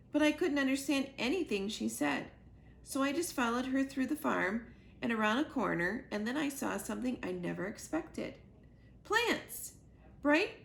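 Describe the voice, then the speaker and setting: A woman's voice: high voice, vocal fry, pitched above the performer's own voice, heavily acted. A woman reading a children's book aloud as a young goose earnestly telling his mother about his adventures.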